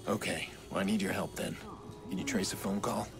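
An actor playing a terse, guarded vigilante hacker in a video game, with a gravelly murmur. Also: male voice, low voice, a little fast, music in the background. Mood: businesslike, brooding. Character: gruff brooding vigilante hacker, grown man, guarded and determined.

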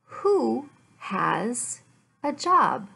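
A woman in her fifties gives a simple English lesson at a very slow, deliberate pace. Her voice sits a little high, with marked creak in it. She enunciates carefully and repeats phrases for learners. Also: big pitch swings, pushed and bright. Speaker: English teacher. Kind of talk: slow, simplified language lesson read aloud. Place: furnished room at home.

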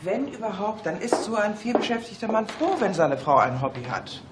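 A man's voice: high voice, big pitch swings, brisk, very noisy. A man acting in a German radio play as a shrewd, outspoken police colleague with a dry, matter-of-fact manner.

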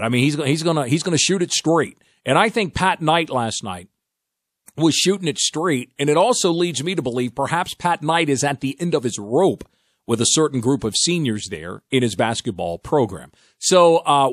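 A man hosting a radio talk show in a studio, animated and folksy, often tripping over and repeating his words. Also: fairly high pitch, no room sound. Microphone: studio microphone.

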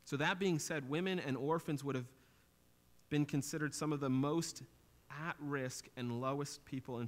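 A man in his thirties preaching a sermon in a small church, explaining scripture in an earnest teaching tone that lifts for emphasis. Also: mid-range voice. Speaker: pastor. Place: small church stage with drum kit.

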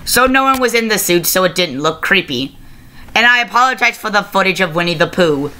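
A young adult giving a casual video review to camera, halting and often self-correcting, recorded on a webcam mic in a small room. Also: mid-range voice, lively intonation, pushed and bright, slight background noise. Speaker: review show host. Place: small plain-walled room indoors. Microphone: laptop webcam microphone at arm's length.

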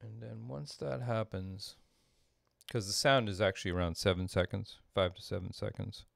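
A middle-aged man giving an informal tutorial at his desk, thinking aloud in a halting way as he explains. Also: on the low side, steady tone, vocal fry, a bit bright, no echo, studio quality. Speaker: composer demonstrating audio programming. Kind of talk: informal screen-share tutorial. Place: small indoor room at a desk.

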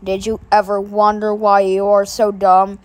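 Woman doing a low, jeering bully boy. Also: completely flat delivery, a little slow, close to an everyday voice. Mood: taunting. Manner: mocking, laughing insults. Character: sneering bully boy taunting a child. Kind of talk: homemade cartoon skit voice.

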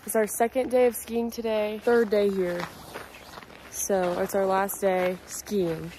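A woman's voice: medium pitch, little pitch movement, slow pace, dark tone, slight background noise. A young woman chatting casually into her phone as she films a travel vlog, bubbly and talkative. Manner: bubbly, chatty, says "cute little" a lot. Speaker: vlogger. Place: outdoors on ski slopes and snowy streets, and indoors in a rental house. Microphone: phone held at arm's length.

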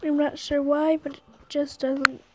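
A young girl who is sick and losing her voice chats haltingly while showing a drawing on her phone at home. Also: high voice, level tone, heavy background noise. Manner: rambling, halting, with throat clearing. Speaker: drawing app vlogger. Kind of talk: casual screen-recorded chat. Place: bedroom at home, brother snoring nearby.

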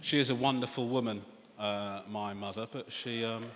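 Animated stand-up comedian. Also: male voice, medium pitch, normal speed, slight background noise. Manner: animated, confiding, swears freely. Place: large theatre stage. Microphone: handheld stage mic. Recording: echoey hall with loud audience laughter.

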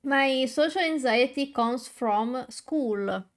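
A young woman chatting on stream in an unhurried, drawn-out way, her voice sitting a little high. She stutters and restarts often, filling gaps with uh and um as she talks casually to her viewers. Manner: stutters, many fillers and restarts. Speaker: VTuber streamer. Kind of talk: casual live-stream chat while coloring.

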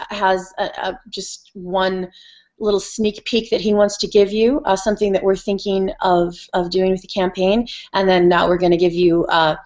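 A woman in her thirties hosting an online town hall, rambling with lots of fillers, from a room at home through a laptop mic. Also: mid-range voice. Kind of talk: hosting a video call. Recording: dull lo-fi video call sound.

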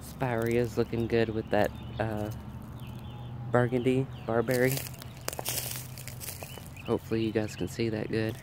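A man's voice: mid-range voice, flat delivery, measured pace, pauses often, noisy background. A man with a nasal, allergy-congested voice chats casually as he films a walk through his backyard garden. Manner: chatty, thinking aloud while filming. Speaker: garden vlogger.

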